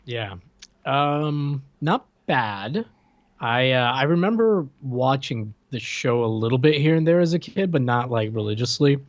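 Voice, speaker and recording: A man's voice: mid-range voice, animated, a little slow, dry recording. Guy cohosting a podcast, kinda hesitant, lots of likes and ums, dry muffled mic. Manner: hesitant, casual, filler-heavy. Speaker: podcast co-host. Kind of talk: casual chat.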